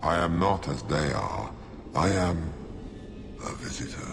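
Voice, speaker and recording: An actor playing an ancient, imperious demon of stone in a video game, speaking formally and with menace. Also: male voice, low voice, lively intonation, unhurried, creaky, background noise, full character voice. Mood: ominous. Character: ancient imperious hungry demon rock creature.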